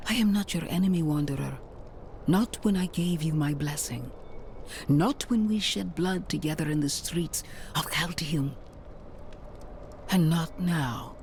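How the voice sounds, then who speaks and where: An actor playing a grave, righteous leader of holy knights in a game cinematic, speaking in a measured, preacher-like way. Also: male voice, on the high side, darkish tone, a little hiss or hum. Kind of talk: dramatic game cinematic acting.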